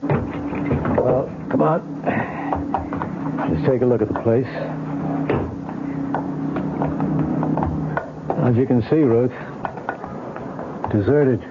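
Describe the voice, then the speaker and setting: An actor playing a skeptical, matter-of-fact police lieutenant, blunt and firm, in old-time radio mystery style. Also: male voice, mid-range voice, wildly swooping pitch, slow pace, pauses often, loud music behind the voice. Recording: noisy, tinny old radio broadcast.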